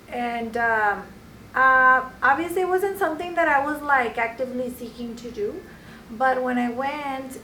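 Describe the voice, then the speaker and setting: A woman's voice: on the high side, pushed and bright. A woman in her forties, a nurse and podcast guest, telling her story casually with many fillers and acted-out quotes. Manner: storytelling, acting out quoted voices, many likes. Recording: echoey room, mic at a distance, faint noise.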